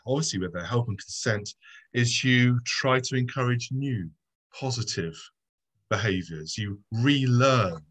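Low-voiced middle-aged man on a video call. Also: fairly bright tone. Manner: measured, earnest, many ums. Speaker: debater, subdeacon. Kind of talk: formal debate presentation. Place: home room. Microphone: video call webcam.

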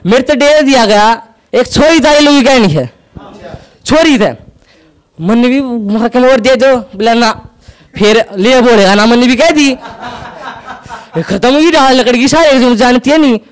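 A young man with a Haryanvi accent doing stand-up storytelling on an indoor stage into a handheld mic, acting out quick back-and-forth dialogue. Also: very high voice, smooth. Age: young adult. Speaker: stand-up comedian. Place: indoor stage with curtain backdrop.

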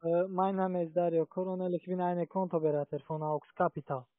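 A man's voice: high voice, flat delivery, brisk, no room sound. A man working as a cold-calling account adviser, making a sales call on the phone. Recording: dry narrow phone line.

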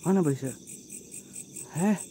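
A young man with a Sundanese accent and a stuffy nose from a cold, hosting a night ghost-hunting vlog outdoors on a close-held phone, switching between quick chatter and hushed, drawn-out calls to spirits. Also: sits high, husky. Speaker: paranormal explorer vlogger. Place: outdoors at night among banana plants. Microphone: phone camera held close.

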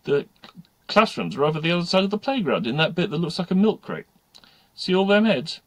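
A man's voice: a little high, very animated, a little fast, a bit bright, dry recording, voice pushed up. A man voicing a brash, streetwise schoolgirl who talks back in a blunt, scornful, colloquial way.

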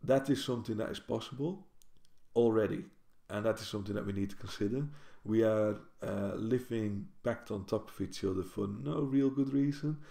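A man's voice: fairly low pitch, moderate pace, gravelly, mellow. A man, talking casually to camera at home and rambling, correcting himself as he goes. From Netherlands. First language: Dutch. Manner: rambling, self-correcting, with many restarts. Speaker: university librarian. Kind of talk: casual talking-head vlog. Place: home kitchen, indoors. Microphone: built-in camera mic at desk distance. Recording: roomy kitchen echo.

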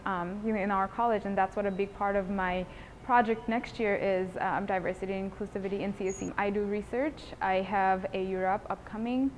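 A woman's voice: medium pitch, a little mellow, a little hiss or hum, low-quality recording. Young woman, earnest testimonial. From Bangladesh. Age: about 20. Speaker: engineering student giving a testimonial. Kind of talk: interview testimonial, semi-prepared talk. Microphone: lapel mic.

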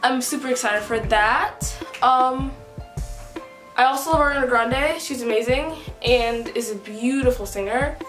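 A high-pitched teenage girl rambles through a casual get-to-know-me chat, scattering fillers as she goes. Her voice bounces around an echoey bedroom, with music playing clearly behind her. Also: measured pace. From United States, East Coast. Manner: rambling and upbeat, many "like" and "whatever" fillers. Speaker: vlogger on a shared channel. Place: small bedroom indoors. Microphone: camera mic at close range.

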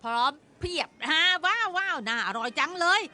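A woman voicing an eager, helpful male robot cat in a Thai cartoon dub, excitable and prone to flustered stammering. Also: high voice, moderate pace, exaggerated voice. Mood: cheerful, excited. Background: music.

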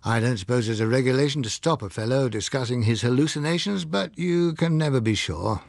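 A man's voice: mid-range voice, a little fast, gravelly, a little mellow, no echo, studio quality, close to an everyday voice. A man voicing a candid military pilot in an audiobook, guarded, colloquial and matter-of-fact. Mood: guarded, earnest. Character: candid military pilot recounting a strange encounter. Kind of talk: audiobook character dialogue.

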